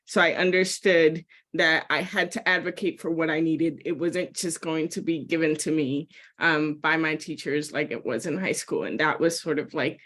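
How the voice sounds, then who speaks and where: A woman's voice: fairly low pitch, fairly bright tone. Chatty young woman with fillers. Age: young adult. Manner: chatty, lots of fillers, laughs lightly. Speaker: panelist. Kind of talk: webinar panel talk.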